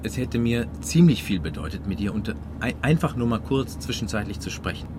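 An actor playing a middle-aged, wounded and jealous husband in an improvised German radio comedy drama, reproachful, agitated and interrogating. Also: male voice, fairly low pitch, expressive, a little fast, background noise, natural voice. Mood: hurt, reproachful. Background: music in a car.

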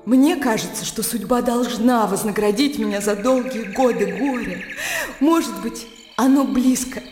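An actress playing a genteel, calculating widowed sister, ingratiating and measured, in a classic radio drama. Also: female voice, fairly high pitch, darkish tone, close to an everyday voice. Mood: plaintive. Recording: reverberant hall, faint music behind.

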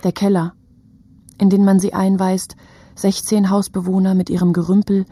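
A woman's voice: mid-range voice, flat delivery, moderate pace, mellow, slight background noise, natural voice. A woman narrating a literary radio play as a sober observer of a city at war, in clipped, fragmentary phrases. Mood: sober, reflective.

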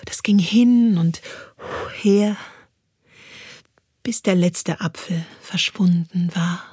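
A woman's voice: on the low side, takes it slowly, dark tone, husky. A woman reading literary prose and poetry as a scripted narration. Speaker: reader. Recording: music playing behind the voice. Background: music.

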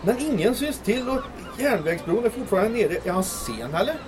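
An actor playing a brash, blunt press photographer in a comic audio drama, excitable and grumbling. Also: male voice, sits high, over music, a crowd in the background. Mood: excited, indignant. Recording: noisy outdoor harbour ambience.

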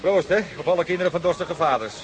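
An actor with a Netherlands Dutch accent playing a chummy, cheeky, wily backstreet barkeeper in a radio drama, talking in colloquial banter. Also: male voice, fairly high pitch, fast, crowd noise, close to an everyday voice.

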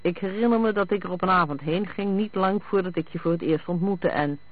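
Man playing a calm, evasive husband, phone-like sound. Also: high voice, fairly quick. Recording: thin, phone-like band-limited sound.

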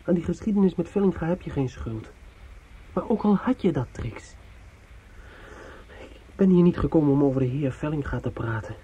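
An actor playing an earnest, devoted young student in love in a Dutch radio play, speaking gently and haltingly. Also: male voice, fairly high pitch, animated, husky, dull audio. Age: young adult. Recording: old radio recording with faint noise.

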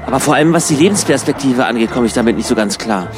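A man's voice: medium pitch, expressive, very fast, over music, lightly acted. A man playing a naive, easily indignant welfare recipient in casual, questioning radio play dialogue. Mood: naive, musing.

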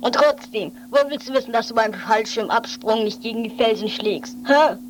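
A woman's voice: on the high side, normal speed, a little hiss or hum, lightly acted, low-quality recording. A woman playing a weary, bossy but protective guardian in an improvised radio play, grumpy and dismissive. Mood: doubtful.

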